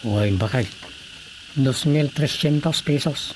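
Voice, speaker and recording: A woman's voice: a bass voice, slow, a little mellow, a little hiss or hum. A woman playing a plain-spoken village councilor in a comic radio drama, with an Ilocano accent. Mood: matter-of-fact.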